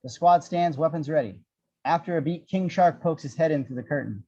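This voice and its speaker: A man's voice: a little high, brisk, warm tone, muffled recording. A man narrating the action descriptions of a screenplay at a table read, in a scripted storytelling style.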